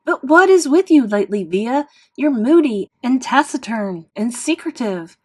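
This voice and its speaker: A woman's voice: on the high side, lively intonation, unhurried, voice pushed up. A woman voicing a caring, emotional mother in a storybook read-aloud. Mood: angry.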